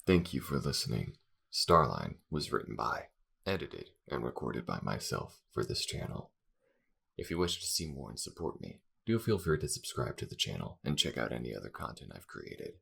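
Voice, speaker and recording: A man's voice: a bass voice, creaky, fairly bright tone, breathy edge. A man, a voice actor and channel creator, reading a scripted outro and a list of credits.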